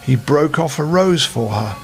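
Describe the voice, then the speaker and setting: A man's voice: on the high side, unhurried, soft background music. An older man reading a fairy tale expressively to children as a storyteller, with friendly asides. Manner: animated, with friendly asides to young listeners.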